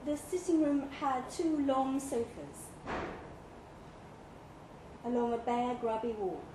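High-voiced woman reading fiction slowly. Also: expressive. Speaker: story reader. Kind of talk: scripted literary narration, read live. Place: indoor live reading event in a pub. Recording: echoey pub room, noisy live recording.

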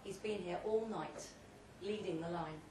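A woman reads a short story aloud at a live event, delivering it theatrically in measured, deliberate phrases. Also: on the low side. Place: pub event room, read aloud live. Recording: roomy, distant live recording with faint noise.

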